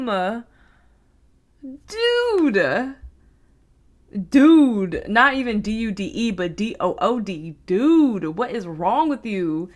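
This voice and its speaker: A woman hosting a casual reaction video, expressive and slangy, full of exclamations. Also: fairly high pitch.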